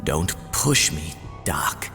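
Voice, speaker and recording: Man playing a surly, animated turncoat infiltrator. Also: rumbling low, very slow, exaggerated voice. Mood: irritated. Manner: surly, terse, menacing. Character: surly, guarded turncoat infiltrator creature, vengeful. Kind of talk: comic dub voice acting. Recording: long reverb, music behind.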